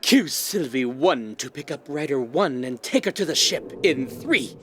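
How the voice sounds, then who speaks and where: A flamboyant male show director, theatrical and commanding. His pitch swoops about as he barks cues in a comic audio drama. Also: expressive, unhurried, fairly bright tone. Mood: brisk commanding.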